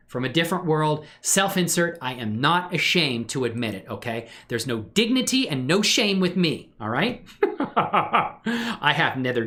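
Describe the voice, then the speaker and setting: A man's voice: a little high, a bit bright. A man hosting a casual livestream, talking in an informal, enthusiastic, rambling way. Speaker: livestream host and hobby 3D animator. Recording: music faintly behind the voice. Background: music.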